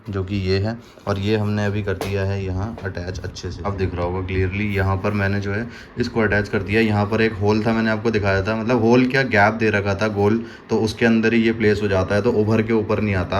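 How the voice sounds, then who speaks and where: Man, low, even-toned casual demonstrator. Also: brisk, few pauses, slight background noise. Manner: informal, chatty, addresses viewers as brother. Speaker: motorcycle vlogger and product reviewer. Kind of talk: casual hands-on product demonstration.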